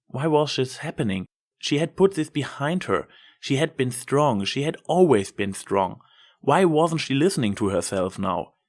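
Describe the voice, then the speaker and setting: A man narrating fiction from a script as a dramatic story reader. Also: mid-range voice.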